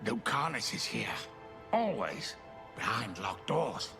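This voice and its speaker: A man's voice: mid-range voice, big pitch swings, slow pace, gravelly, full character voice. An actor playing an agitated spirit demon trapped inside a man, speaking in emphatic, erratic bursts in a video game. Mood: agitated, insistent. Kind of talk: video game character dialogue. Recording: loud music behind, long hall reverb.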